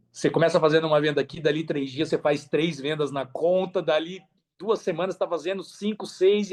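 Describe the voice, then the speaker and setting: A Brazilian man with a slightly high voice talks as a guest on a podcast. His delivery is casual and emphatic, full of slang and repeated phrases for stress. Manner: emphatic, repeats phrases, slangy, animated storytelling with acted-out quotes. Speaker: podcast guest, affiliate marketer.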